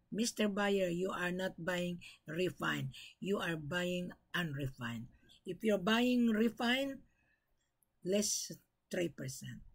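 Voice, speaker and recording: Blunt, gravelly-voiced woman scolding buyers. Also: mid-range voice. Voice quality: gravelly, husky voice. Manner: blunt, scolding, repeats her points. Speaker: gold broker. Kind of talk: lecturing monologue to buyers.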